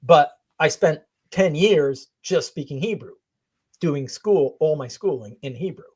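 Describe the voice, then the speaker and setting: A woman's voice: sits low, expressive, unhurried, darkish tone, breathy edge. A woman coach who grew up in Israel, talking as a guest on a podcast in an earnest, thoughtful way and laughing often. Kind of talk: reflective interview talk.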